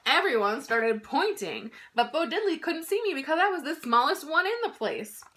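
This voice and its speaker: A woman in her thirties reading a children's picture book aloud in an expressive, emphatic storytelling style. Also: high voice, normal speed, background noise. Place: small indoor room at home.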